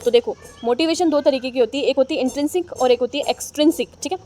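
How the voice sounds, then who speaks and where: A young Indian woman teaching an exam-prep lesson, chatty and encouraging, who reads lines slowly and then explains them. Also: high-pitched, dark tone.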